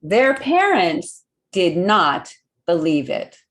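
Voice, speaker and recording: A woman hosting a children's storytime, reading a picture book aloud expressively and pausing to put questions to young listeners. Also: fairly low pitch. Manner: expressive, deliberate, simple phrasing with questions to children.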